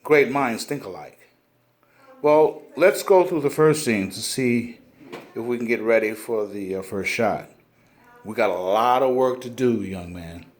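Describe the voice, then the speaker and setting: A man's voice: medium pitch, measured pace, close to an everyday voice. Man reading a genial older film director. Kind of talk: cold table read of a film script.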